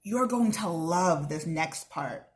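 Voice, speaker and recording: A woman's voice: fairly low pitch, animated, takes it slowly. A woman voicing a wise, teasing male mentor who instructs children in a storybook with leading questions.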